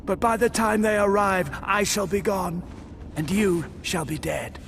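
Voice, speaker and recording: Creaky, menacing dark wizard. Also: male voice, sits high, unhurried, background noise. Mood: cold menace. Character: menacing, coldly confident dark wizard villain. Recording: music under the voice.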